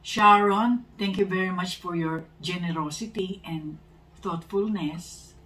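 A woman's voice: fairly low pitch, a little slow, fairly bright tone, far from the mic, a little hiss or hum, rough recording. A middle-aged Filipino woman with a Filipino accent, chatting and thanking viewers in a casual home vlog, seated on a living-room sofa. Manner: chatty, coaching, mixes in Tagalog. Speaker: vlog co-host. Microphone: far camera.

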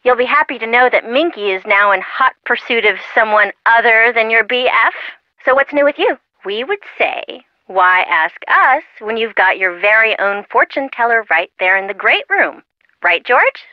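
Lively, chatty American teen girl. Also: fairly high pitch, no room sound, highs cut off. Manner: breezy, sardonic, gossipy. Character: chatty, teasing teenage American girl, loyal friend. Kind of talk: video game phone-call dialogue.

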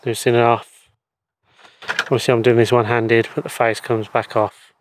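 A man in his thirties with a hoarse voice, relaxed and explanatory, demonstrating an installation outdoors in a garden on a lapel mic. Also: medium pitch, moderate pace, a little hiss or hum. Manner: relaxed, explanatory, with frequent ums and so's. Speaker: EV charger installer and channel host. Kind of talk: hands-on installation demo. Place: outdoor garden beside a wooden shed.

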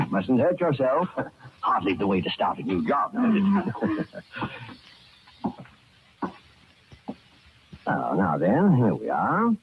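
A man's voice: medium pitch, huge pitch swings, takes it slowly, pauses often, heavy background noise. An actor playing a fussy, falsely kindly, sinister older employer who dithers and repeats his phrases, in old-time radio drama style. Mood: slyly genial. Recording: muffled, tinny old radio recording.

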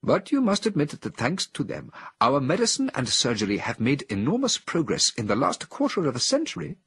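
A man voicing a learned male chimpanzee scientist who speaks solemnly and with excitement, in an audiobook reading. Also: medium pitch, a little fast, creaky, breathy edge, no echo, pitched above the performer's own voice, full character voice. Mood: excited.

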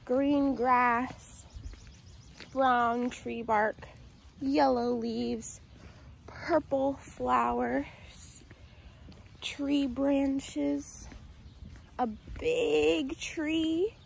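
A woman's voice: high voice, fairly even intonation, drawn-out words, vocal fry, pauses often, slight background noise, rough recording. A woman in her twenties talking to young children like a teacher on a nature walk, with simple sing-song phrases and questions, recorded outdoors on a handheld phone. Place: outdoors in a residential yard. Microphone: phone at arm's length.

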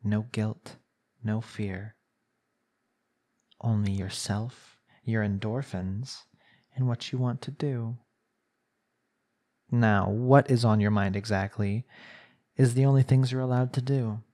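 A man performing an ASMR roleplay as a smug, coaxing young villain hypnotist, speaking in a soothing, deliberate way. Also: lowish voice, fairly even intonation, warm tone. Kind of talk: ASMR roleplay acting, slow hypnotic monologue.

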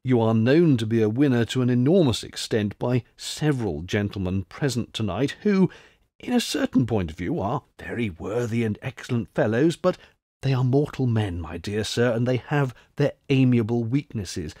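Guy putting on a loud jolly old french soldier, crafty, dry close sound. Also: mid-range voice, expressive, unhurried, dry recording. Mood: confidential. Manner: blustering, exclamatory, drops into confidential tones. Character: boisterous old French ex-grenadier, crafty, jovial. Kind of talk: audiobook character voice.

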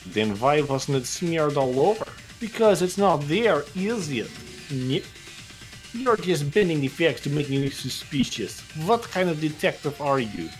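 A sly, indignant young male suspect acted by a man drags out his questions in a game. The delivery is slow and lively in pitch, over prominent music. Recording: game music clearly behind.

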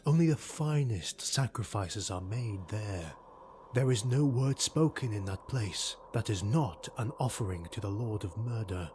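A man voicing a grave, secretive hooded male retainer, speaking in a hushed, half-whispered way. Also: on the low side, expressive, unhurried, slight background noise. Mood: ominous.

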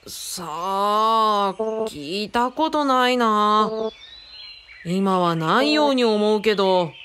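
A woman's voice: mid-range voice, animated, takes it slowly, fairly bright tone, slight background noise. A woman playing a frank, curious, wry apprentice in a radio drama, speaking casually and bluntly. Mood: curious, musing.

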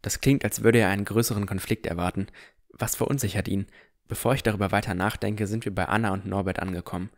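A man's voice: fairly low pitch, fairly even intonation, fast. A man narrating a fantasy audio drama in the first person from a script.

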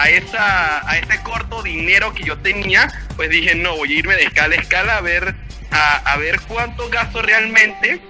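A man's voice: sits high, pushed and bright. A young Venezuelan man with a Táchira border accent, a rambling interview guest who often repeats his words. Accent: Venezuelan Andean, from Táchira near the Colombian border. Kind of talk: casual interview answers.

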